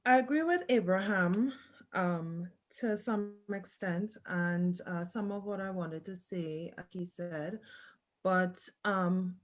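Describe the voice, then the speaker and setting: A woman from Trinidad, speaking in a community discussion in a hesitant, thoughtful way with many pauses. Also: lowish voice. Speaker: debate participant. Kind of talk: discussion argument.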